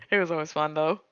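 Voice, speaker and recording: A woman's voice: low-pitched, clean tone, no room sound. A woman chatting casually as a guest on a panel, recorded on a dull lo-fi mic. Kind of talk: casual chat.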